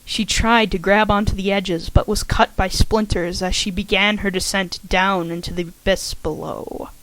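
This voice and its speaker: A woman's voice: medium pitch, steady tone, moderate pace, a bit bright, a little hiss or hum, poor audio. A woman reading fan fiction aloud, a little shaky, on a home microphone. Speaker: fanfiction reader. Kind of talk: amateur audiobook narration.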